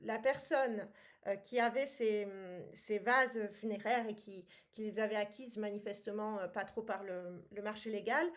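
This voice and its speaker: A woman's voice: medium pitch, steady tone, normal speed. A woman co-hosting a casual book podcast, talking with many hesitations and frequent laughs. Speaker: podcast co-host. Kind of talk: casual book-club chat.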